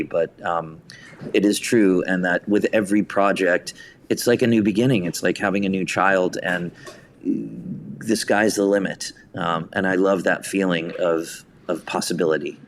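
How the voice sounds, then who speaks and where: A man's voice: sits low, slight background noise. An American man talking reflectively as an interview guest about his creative work. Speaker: podcast guest, theater writer and director.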